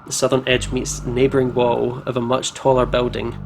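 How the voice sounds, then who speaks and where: A man's voice: mid-range voice, completely flat delivery, brisk. Man narrating a game over siren noise. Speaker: host of visual novel playthrough. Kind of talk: gameplay narration with casual commentary. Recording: clear siren and traffic noise behind. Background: game ambience of sirens, vehicles.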